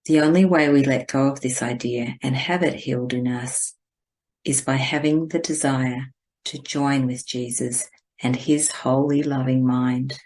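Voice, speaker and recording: A woman with an exceptionally low voice guides a spiritual meditation. She speaks slowly and deliberately, giving gentle instructions in a measured way. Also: steady tone.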